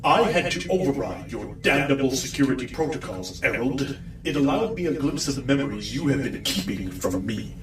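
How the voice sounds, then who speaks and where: A man's voice: medium pitch, expressive, normal speed. A man voicing an imperious robotic warrior in an audio drama, commanding, formal and menacing, with a reverberant machine effect. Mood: demanding, threatening. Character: imperious robotic warrior with a glowing face, demanding his memories. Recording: echoing, distant-sounding processed voice.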